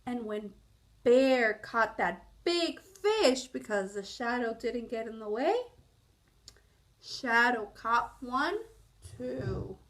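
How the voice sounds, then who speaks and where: Slow, high-pitched woman reading a storybook. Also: expressive, a bit bright. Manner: explanatory, asks listeners questions. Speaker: children's storybook reader. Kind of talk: read-aloud storytelling for young children.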